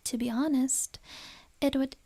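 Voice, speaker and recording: A woman plays a wealthy, possessive noble lady for an ASMR romance roleplay. Her voice is fairly high and very soft-edged, with breathy, unhurried, refined phrasing. A gentle politeness covers her menace. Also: steady tone. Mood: doting.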